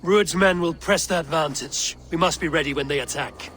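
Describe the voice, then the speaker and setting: An actor playing a stern, blunt Viking raider leader in a video game, speaking with deliberate command. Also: male voice, fairly high pitch, a bit bright, a little hiss or hum, lightly acted. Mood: resolute. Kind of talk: video game dramatic acting.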